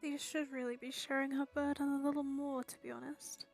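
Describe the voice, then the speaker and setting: A woman streaming a game in a very high voice. She comments casually, with a playful, wry manner. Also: flat delivery, brisk, creaky, faint music behind. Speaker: game streamer reacting to the story.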